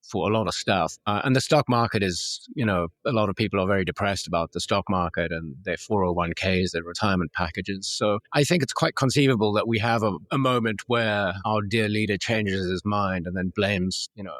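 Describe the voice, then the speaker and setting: A man's voice: fairly low pitch, steady tone, fairly quick, few pauses, fairly bright tone, no echo. A man hosting a podcast, talking in a casual conversational interview style. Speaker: podcast host.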